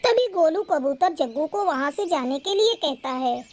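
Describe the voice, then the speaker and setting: A woman's voice: high voice, animated, moderate pace, a little mellow, a little hiss or hum. A woman narrating a scripted children's story as a storyteller.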